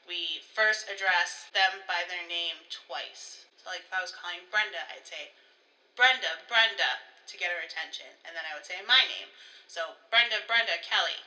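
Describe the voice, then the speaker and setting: A woman's voice: lowish voice, pushed and bright, poor audio. A woman co-hosting a conversational staff training talk, explaining procedures step by step with examples. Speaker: co-host, school staff trainer.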